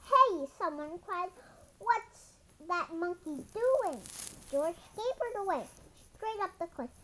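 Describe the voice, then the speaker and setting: Very high-pitched voice, animated picture-book read-aloud, expressive storytelling with small stumbles, noisy home-style recording. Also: takes it slowly. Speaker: storybook reader. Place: indoors at a wooden table. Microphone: camera over the book.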